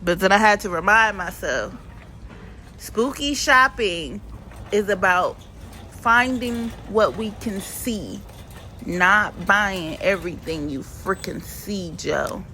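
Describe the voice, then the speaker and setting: A woman's voice: mid-range voice, expressive, slow pace, pushed and bright, poor audio. A woman narrates her shopping vlog in a casual, playful, slangy way. Her voice sounds stuffy and sniffly from a cold. A faint background hiss sits under the home recording. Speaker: vlogger.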